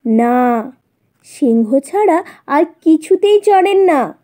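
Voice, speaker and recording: A woman voicing a calm, teasing, affectionate old grandmother, matter-of-fact and unhurried, in a children's audio story. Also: high voice.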